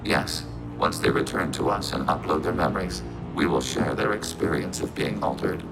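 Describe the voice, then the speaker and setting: An actor voicing a calm, logical machine mind in a video game, measured and formal, with synthetic processing on the voice. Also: male voice, extremely low pitch, creaky, over loud music. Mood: measured, detached. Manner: measured, clinical, formal, speaks as "we". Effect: synthetic robot voice processing. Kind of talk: video game character acting.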